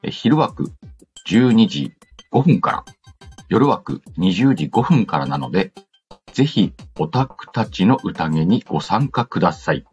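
A man's voice: deep voice, very animated, a little slow, slightly rough. A man hosting a casual radio-style show, reading out letters and announcements with playful asides. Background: background music.

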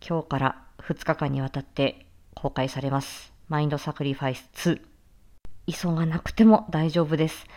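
Low-voiced, earnest woman speaking deliberately. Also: warm tone. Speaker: voice actor introducing a production. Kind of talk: reflective promotional talk.

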